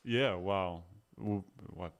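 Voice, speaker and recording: New male co-host with a lowish, smooth voice, relaxed and dryly teasing. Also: slow pace. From New Zealand. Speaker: podcast co-host. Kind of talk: casual sports podcast chat.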